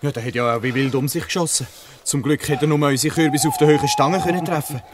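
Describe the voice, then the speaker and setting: An actor playing a youthful gang member in a Swiss German radio play. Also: male voice, fairly high pitch, steady tone, fast, crowd noise, close to an everyday voice. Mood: relieved.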